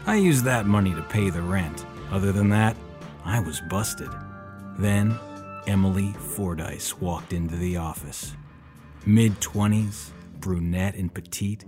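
Low, dry hardboiled detective played by an actor. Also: male voice, a little mellow, natural voice. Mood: dry, world-weary. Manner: dry, wry, unhurried. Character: world-weary tough male private detective with gravity magic. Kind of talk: hardboiled noir audio drama narration.

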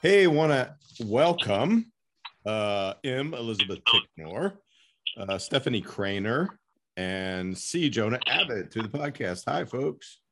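A man's voice: mid-range voice, expressive, slow, noisy background. A man hosting a podcast, moving between scripted announcements and casual interview talk. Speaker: podcast host.

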